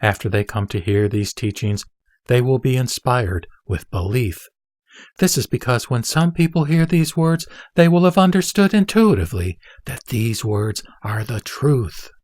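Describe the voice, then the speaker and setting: A man playing the Buddha as a wise, serene teacher, speaking formally and deliberately in a scripted audio drama. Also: medium pitch, expressive, darkish tone, no echo, clean studio sound, natural voice. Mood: solemn teaching.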